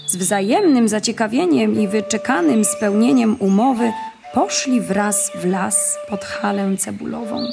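Female storybook narrator over music. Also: medium pitch.

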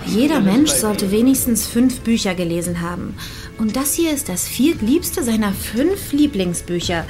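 Eager young woman with lively intonation. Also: on the high side, unhurried, music in the background. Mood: curious, focused. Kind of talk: audio drama acting.

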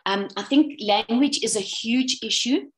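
A woman from South Africa, speaking in a relaxed, conversational way over a video call, with many restarts and ums. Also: fairly high pitch, unhurried. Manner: self-deprecating, rambling with many restarts and ums. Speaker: webinar presenter. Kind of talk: casual conversational talk.

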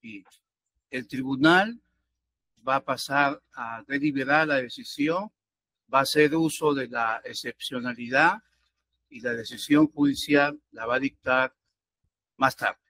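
An older man speaks as a presiding judge on a video call. His mid-pitched voice sounds dry, without room echo. He is formal, curt and firm in moving the procedure along. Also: a little slow, pauses often, pushed and bright. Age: older, around 60. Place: indoors in an office chair, on a video call. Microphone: video call, seated close to the camera.

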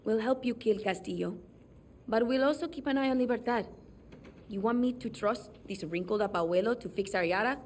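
A woman's voice: on the high side, a little fast, darkish tone, slight background noise, natural voice. A woman playing a fierce, defiant young guerrilla fighter, blunt and emphatic, in a video game. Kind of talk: dramatic game dialogue.

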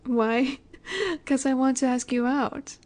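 Woman doing a flirty mafia boss lady roleplay, high pitched, really slow, coy and teasing w breathy asides. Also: darkish tone. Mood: coy, flirtatious. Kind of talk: roleplay audio drama.

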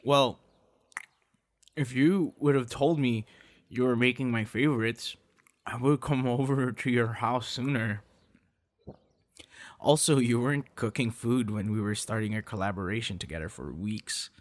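Slow-talking, affectionate young boyfriend played by a man. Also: medium pitch, lightly acted. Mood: delighted. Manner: teasing, encouraging, halting with soft laughs and gasps. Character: playful, affectionate young male voice actor on a date. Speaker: voice actor in audio roleplay. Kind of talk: ASMR boyfriend roleplay.

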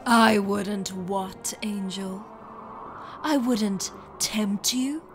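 A woman's voice: medium pitch, drawn-out words, faint music behind. A woman voicing a sardonic, conflicted male demon in a comic dub, wry and hesitant, sometimes dropping to a whisper. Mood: bitter.

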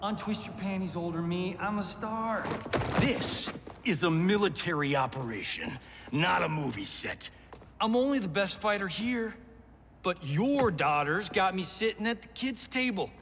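A cocky movie-star fighter. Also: male voice, sits high, animated, a little slow, fairly bright tone, long reverb tail, a little hiss or hum, heavily acted. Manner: cocky, showboating. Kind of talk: video game cutscene acting.

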